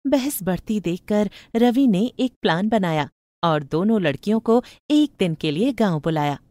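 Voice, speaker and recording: A woman's voice: medium pitch, lively intonation, a little slow, mellow, no room sound. A woman narrating a moral bedtime story in a scripted storytelling style.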